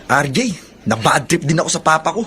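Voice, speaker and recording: A man playing an irritable, stubborn young son in a Filipino audio drama, with a husky voice. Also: on the high side, fairly quick, pushed and bright, slight background noise. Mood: annoyed. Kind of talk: Filipino radio-style drama acting.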